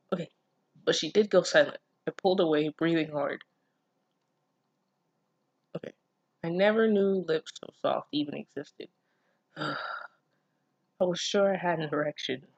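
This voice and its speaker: A person reading fan fiction aloud casually, breaking off for expressive, incredulous asides full of swearing. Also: medium pitch, creaky, dry recording, muffled recording. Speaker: online reader reacting to fan fiction. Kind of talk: casual read-aloud with running commentary.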